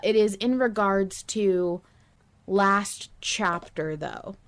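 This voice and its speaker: Woman podcaster chatting casually as a fan, really emphatic, stops and starts, mid pitch. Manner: emphatic, passionate, halting delivery. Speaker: podcast host.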